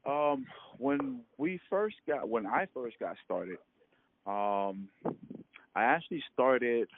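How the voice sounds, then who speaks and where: A man from Hawaii and American Samoa, recalling his past in a halting, casual phone interview full of fillers. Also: mid-range voice, expressive. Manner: halting, many fillers and restarts, laughs often. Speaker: interviewee, former ballplayer. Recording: tinny phone line with light noise.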